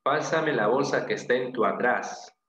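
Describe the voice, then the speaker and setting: A man's voice: mid-range voice, moderate pace, a bit bright. Male teacher, exam-prep class, question-and-answer delivery with frequent tag questions; dull recording with faint background noise. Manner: questioning, repeats answers and confirms them with short praise and tag questions. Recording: echoey room, dull lo-fi sound, faint noise.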